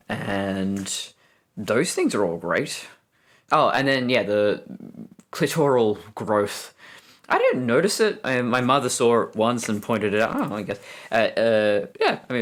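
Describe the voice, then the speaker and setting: A woman in her thirties with an Australian accent and a deep voice. She speaks frankly in an interview, halting with restarts. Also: expressive. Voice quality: deep voice from testosterone. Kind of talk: candid recorded interview.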